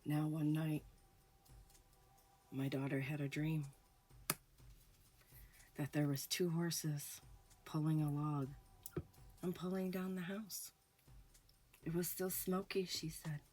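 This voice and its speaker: Low-voiced woman host recovering from illness, hushed slow ghost storytelling over faint music. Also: fairly even intonation. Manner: slow, hushed, dramatic pauses. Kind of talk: spoken ghost story narration.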